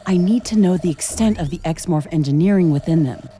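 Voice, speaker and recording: A woman's voice: fairly low pitch, brisk, warm tone, slight background noise, close to an everyday voice. A woman playing a determined scientist-commando in a video game, speaking in a composed, matter-of-fact, analytical way. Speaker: game protagonist. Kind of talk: scripted video game dialogue.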